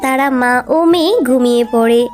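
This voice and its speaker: A woman's voice: on the high side, brisk, darkish tone. A woman giving scripted storybook narration as the narrator of a children's cartoon.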